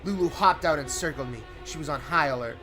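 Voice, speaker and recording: A man's voice: fairly high pitch, a little fast. A man reading a scripted first-person horror story in a suspenseful, theatrical way. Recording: prominent music under the voice. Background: music.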